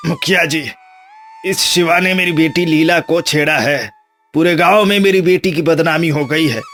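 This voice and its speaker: Man acting an aggrieved village father, fairly high-voiced. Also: moderate pace, fairly bright tone, soft background music, lightly acted. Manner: indignant, insistent. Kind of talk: Hindi cartoon moral story dub.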